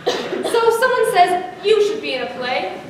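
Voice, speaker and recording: A teenage girl performing readers theater on a school stage, with dramatic delivery that drops to a hush and rises to emphasis. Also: very high voice, very animated, unhurried, slight background noise. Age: teens. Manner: dramatic, swings from hushed to emphatic. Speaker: high school student performer. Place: large school hall on stage. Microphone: distant room mic. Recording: echoey hall from far off.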